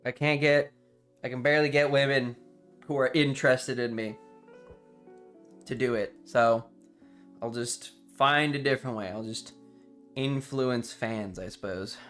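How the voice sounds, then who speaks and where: A man's voice: medium pitch, fairly even intonation, unhurried, pauses often, a bit bright. A man chatting casually on a livestream, rambling enthusiastically with some casual swearing. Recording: music playing behind the voice. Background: music.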